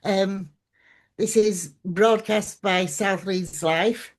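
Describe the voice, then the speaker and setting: Woman chairing a local hustings, loose and informal, mid-pitched voice. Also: fairly even intonation, extremely slow. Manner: informal, hesitant with fillers. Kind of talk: chairing a public meeting.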